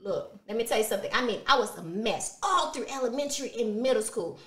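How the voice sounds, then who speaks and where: Lively high-voiced woman with a Haitian accent. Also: quick pace, reverberant. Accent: Haitian Creole. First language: Haitian Creole. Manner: expressive, animated, repeats words for emphasis. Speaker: motivational vlogger. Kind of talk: personal motivational talk to camera. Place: small indoor studio room.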